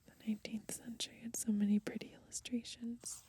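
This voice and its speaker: A young woman whispering in a hushed, breathy voice for ASMR, unhurriedly reading from a book and adding gently musing asides. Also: on the high side, little pitch movement, a little fast. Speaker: ASMR host. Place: outdoors on grass.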